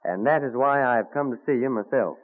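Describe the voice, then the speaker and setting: A man's voice: mid-range voice, brisk, lightly acted. An actor playing an eager, polite, admiring young colonial tradesman in an old radio drama. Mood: eager admiration. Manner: polite, deferential, enthusiastic. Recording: tinny narrow-band vintage radio recording.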